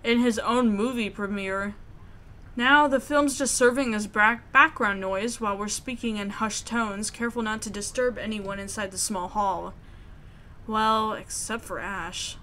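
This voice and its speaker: A woman's voice: mid-range voice, fairly even intonation, measured pace, pushed and bright. A woman reading a visual novel aloud as a gamer, mixing narration with sassy, casual commentary.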